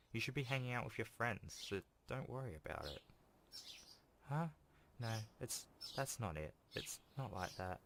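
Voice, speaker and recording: A man's voice: fairly low pitch, noisy background. A man hosting a gaming video, reading a visual novel aloud in a casual way with informal offhand commentary. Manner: informal, makes offhand asides between read passages. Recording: game music playing behind the voice. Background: game music.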